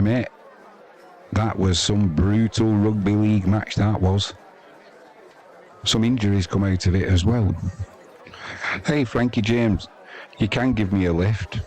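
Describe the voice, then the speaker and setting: A man's voice: on the low side, a little mellow. A man giving informal, matey live cricket commentary and chatting casually with his audience. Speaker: live sports stream commentator.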